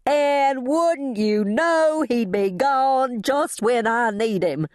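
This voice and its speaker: A woman's voice: animated, normal speed, dry recording, clean studio sound, close to an everyday voice. A woman voicing a frantic, proper older lady in an audiobook, breathless, exclaiming and indignant. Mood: indignant, exasperated. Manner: shrill, breathless wailing and exclaiming.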